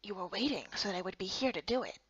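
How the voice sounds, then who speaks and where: Game character: self-assured young male adventurer, played by a woman, teasing and smooth, hushed and lowered, dry close sound. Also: animated, fast talker, no echo, muffled recording. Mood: cool, suspicious. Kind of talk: visual novel game character dub.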